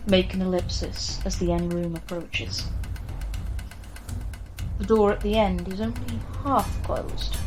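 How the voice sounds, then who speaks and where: A woman reading a scripted horror story in the second person, with a measured, grave delivery. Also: fairly low pitch. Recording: music behind the voice. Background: background music.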